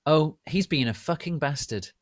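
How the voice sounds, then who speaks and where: A man's voice: on the high side, lively intonation, normal speed, no echo, clean studio sound, pitched above the performer's own voice, lightly acted. A man voicing a brooding, foul-mouthed teenage goth boy in an overwrought, disgusted manner for a story. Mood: sulky, inviting.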